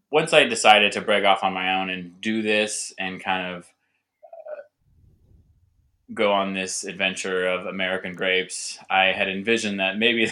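Guest, male, stutters; deep and bright, halting delivery. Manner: hesitant, with restarts and repeated words. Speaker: winemaker guest. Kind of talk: casual podcast interview.

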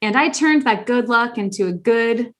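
Lively woman podcast host, fairly high voice, laughs a lot, lots of ums. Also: normal speed. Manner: animated, laughs often, many fillers. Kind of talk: casual podcast chat.

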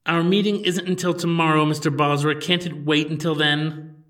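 A man's voice: medium pitch, steady tone, fairly quick, fairly bright tone, no room sound, studio quality, lightly acted. A man voicing an annoyed young princess who speaks curtly. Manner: cold, clipped.

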